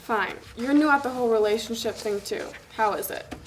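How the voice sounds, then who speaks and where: A woman's voice: fairly high pitch, brisk, close to an everyday voice. A young woman acting a teasing, candid female college best friend in a table read, with casual delivery. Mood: candid, chatty. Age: young adult. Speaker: actor at a script table read. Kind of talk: casual dialogue read aloud from a script. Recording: noisy room recording.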